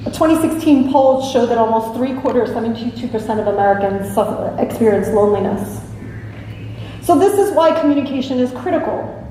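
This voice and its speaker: A woman delivers a prepared talk in an earnest, emphatic and deliberate way, with a slightly high voice. She is heard from a distance in a large, reverberant hall. Faint background noise sits under her voice. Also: animated, warm tone. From New York and Italy. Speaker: speaker, evaluation consultant.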